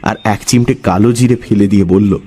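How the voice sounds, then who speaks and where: A man narrating a scripted horror story in a dramatic, suspenseful way, with deliberate pauses. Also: fairly low pitch, fairly even intonation, dark tone, breathy edge.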